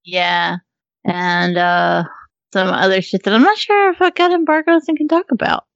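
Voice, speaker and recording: A woman co-hosting a podcast in casual banter, with a high-pitched voice, laughing often and teasing the others. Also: normal speed, creaky, no echo, dull audio. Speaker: podcast co-host.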